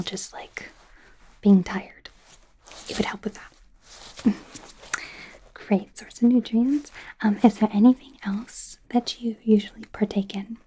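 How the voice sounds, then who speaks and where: A woman playing a nervous, awkward werewolf doctor in an ASMR roleplay, whispering softly in a hesitant, rambling, self-deprecating way. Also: mid-range voice. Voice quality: soft breathy whisper.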